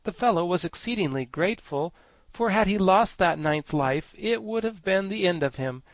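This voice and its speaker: A man voicing a pompous, learned male insect gentleman with grand, formal speech. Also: high voice, expressive, moderate pace, no room sound, pitched above the performer's own voice. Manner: grandiloquent, formal, self-satisfied. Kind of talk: audiobook character voice.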